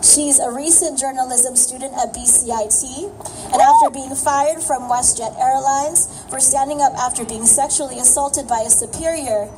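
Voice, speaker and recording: A woman acts as emcee at an outdoor rally, introducing the next speaker in a brisk, projecting voice. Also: high-pitched. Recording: distant, noisy crowded rally sound.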